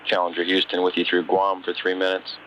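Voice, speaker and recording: A man's voice: low-pitched, monotone, brisk, sharp tone. A man working as a mission control communicator, talking to a crew over a radio link. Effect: radio transmission. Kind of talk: air-to-ground radio exchange. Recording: dull radio link with faint hiss.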